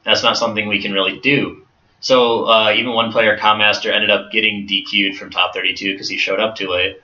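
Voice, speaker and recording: Low-voiced man with a very bright tone. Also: level tone, fairly quick. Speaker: podcast co-host. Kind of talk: casual podcast chat. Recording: roomy room, dull mic.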